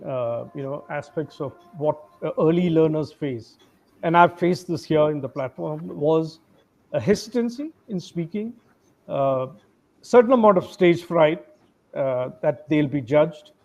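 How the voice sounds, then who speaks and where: A man speaks as a guest trainer in a slightly high register. He talks in measured steps, often pausing on "uh". The audio is dull and lo-fi. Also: animated, a little mellow. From India. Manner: measured, with frequent "uh" pauses. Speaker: English trainer, interview guest. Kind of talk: advice-giving interview talk.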